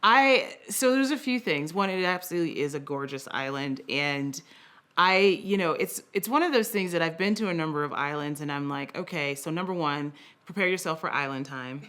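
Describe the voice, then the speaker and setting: A woman speaking as a podcast guest in a casual conversation, telling stories in an animated way with slangy asides. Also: low voice, normal speed, clear, resonant tone.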